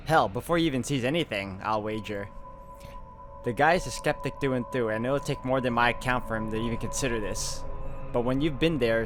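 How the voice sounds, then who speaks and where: Man narrating a visual novel over music. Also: mid-range voice. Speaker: video game walkthrough host. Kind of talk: casual commentary and reading visual novel narration aloud. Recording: music behind the voice. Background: game music.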